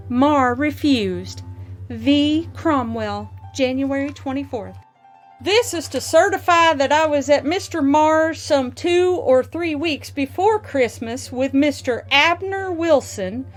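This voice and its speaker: A formal witness from the nineteenth century, voiced by a woman, gives sworn-sounding testimony in a deliberate reading. The voice is high and very measured, over faint music. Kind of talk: dramatic reading of old written testimony.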